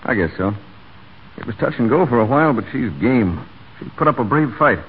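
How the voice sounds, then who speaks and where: An actor playing a brash, brooding, lovestruck stable hand, blunt and earnest, in a 1940s radio drama. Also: male voice, medium pitch, animated, brisk, breathy edge. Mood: soothing. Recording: tinny, narrow 1940 radio broadcast.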